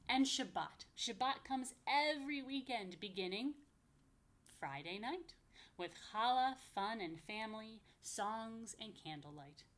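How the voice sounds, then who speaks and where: A woman's voice: a little high, animated, a little slow, a bit bright. An American woman reading a picture book aloud to young children, with chatty asides and playful questions to her listeners. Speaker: rabbi, story time host for young children.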